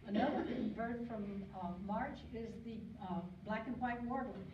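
An older woman gives a relaxed slide talk from a podium, chatty and wandering, filling gaps with ums and clearing her throat now and then. She is recorded by a camera far back in an echoey lecture hall. The sound is muffled, with steady background noise. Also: on the high side, measured pace. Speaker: guest speaker on local birds. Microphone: podium mic, recorded by a far camera.

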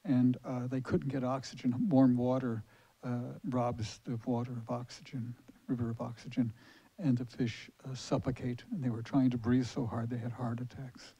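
A man's voice: mid-range voice, level tone, moderate pace, warm tone, husky. An older man in the audience of a large hall, explaining plainly into a handheld mic with some fillers. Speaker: audience member. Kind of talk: public comment at a lecture. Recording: dull lo-fi hall audio.

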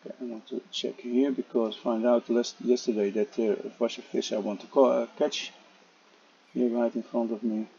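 A man walks through a game tutorial in a casual voice-over, pausing often on "uh" and restarting his sentences. His voice is low-toned and a little husky, and it comes through a dull, lo-fi home microphone. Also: level tone, warm tone. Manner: halting, many "uh" fillers and restarts. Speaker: gameplay tutorial narrator.

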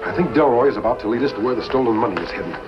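An actor playing a calm, authoritative masked cowboy lawman with deliberate speech in a 1950s western. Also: medium pitch, over loud music, lightly acted. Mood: calm, assured. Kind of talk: 1950s television western acting. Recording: dull old 1950s television soundtrack.

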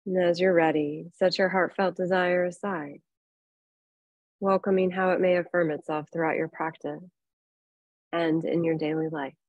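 A woman leads a guided relaxation as a meditation teacher. Her voice sits somewhat low, and she speaks in an unhurried, soothing way, leaving long pauses between phrases. The sound is very dry, free of room reflections. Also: steady tone, a little mellow, no room sound, lo-fi sound. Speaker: yoga nidra meditation teacher.

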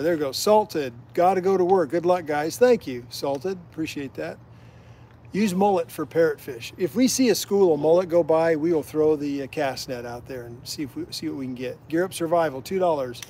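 A man's voice: a little high, normal speed, warm tone. A middle-aged man from Iowa, the host's father, chatting on a fishing livestream by a canal, reading viewer comments and telling rambling history stories. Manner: folksy, rambling storyteller who drifts onto side tracks. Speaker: the host's father, guest storyteller. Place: outdoors beside a canal.

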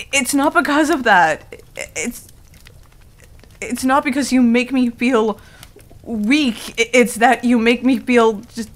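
Woman voicing a flustered, halting tsundere werewolf girl. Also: sits high. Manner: halting, stammering, defensive. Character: proud, flustered young female tsundere werewolf. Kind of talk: intimate ASMR roleplay.

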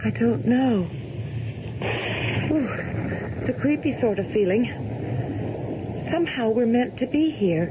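An actress playing a romantic, curious young daughter in a radio play. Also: female voice, a little high, expressive, unhurried, pauses often. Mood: dreamy, curious. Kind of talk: 1970s radio drama acting. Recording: lo-fi noisy vintage radio recording.

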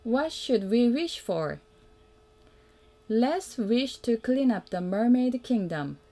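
A woman reading a children's picture book aloud as a mother would, in a simple, deliberate way that invites the young listener to join in. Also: on the high side, sing-song, dark tone. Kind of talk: read-aloud children's storytelling.

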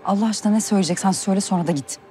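A woman's voice: mid-range voice, rapid-fire, warm tone, faint music behind, close to an everyday voice. An actress playing a reserved, weary woman in her thirties in a television drama, restrained and firm yet polite. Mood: wary, exasperated. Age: 30s.

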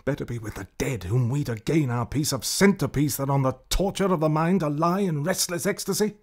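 A man's voice: fairly high pitch, fairly quick, natural voice. A man playing a brooding, scheming usurper king in a classical Shakespearean radio drama. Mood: tormented.

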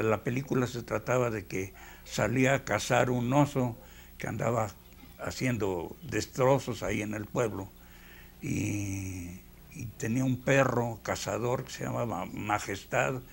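An older man with a Mexican accent, reminiscing unhurriedly in a documentary interview, pausing as he tells his stories. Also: fairly low pitch, vocal fry, a little mellow, breathy edge. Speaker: veteran animator.

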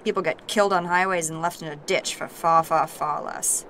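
A woman playing a gruff, blunt, commanding warrior in a fantasy audio drama. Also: low-pitched, fairly even intonation, moderate pace, a bit bright, a little hiss or hum. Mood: stern, warning. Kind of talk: naturalistic audio drama acting.

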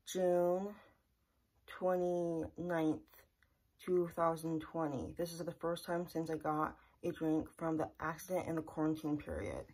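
Low-voiced young woman vlogging earnestly. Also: a little mellow, poor audio. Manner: earnest, repetitive, plain-spoken. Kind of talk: casual personal vlog to camera. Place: small bedroom, indoors. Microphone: camera in front at close range.

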